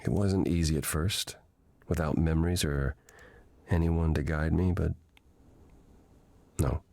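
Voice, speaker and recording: An actor playing an ancient, weary god in a video game, speaking as a gentle, patient mentor in a measured, reflective way. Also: male voice, a bass voice, fairly even intonation, gravelly, no echo, full character voice. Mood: wistful, hesitant.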